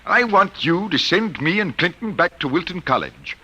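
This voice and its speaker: An actor playing a stubborn, idealistic college professor, earnest and argumentative, in old radio drama style. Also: male voice, sits high, sing-song, normal speed, sharp tone, breathy edge, heavily acted. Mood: indignant. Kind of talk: 1950s radio drama acting. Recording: dull old radio recording with music.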